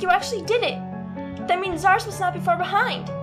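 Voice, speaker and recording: A woman voicing an excitable young pony filly who squeals and gushes, in a fan audio drama. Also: extremely high pitch, brisk, loud music behind the voice. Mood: excited. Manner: squealing, gushing.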